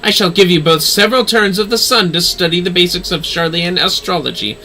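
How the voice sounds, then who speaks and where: A man's voice: high voice, fairly quick, bright, forceful tone, slight background noise, poor audio. Man voicing a haughty, imperious young noblewoman. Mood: stern. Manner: imperious, formal, scolding. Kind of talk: game dialogue read-aloud.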